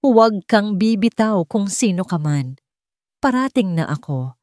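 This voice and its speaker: A woman plays a driven Filipino male boss in an audiobook drama. He speaks with firm, calm authority, every line an order, in a dry recording. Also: medium pitch, unhurried, no echo, voice pushed up. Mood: urgent. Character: commanding, driven male boss on an urgent rescue. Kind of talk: audiobook character acting.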